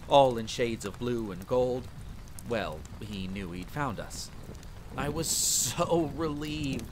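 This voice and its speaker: A man voicing a vampire hunter who theatrically recounts his dark boyhood by a campfire in an audio roleplay, with wry asides. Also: background noise, natural voice. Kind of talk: dramatic first-person storytelling, audio roleplay. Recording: steady rain and rumbling storm ambience. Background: rain and rolling thunder.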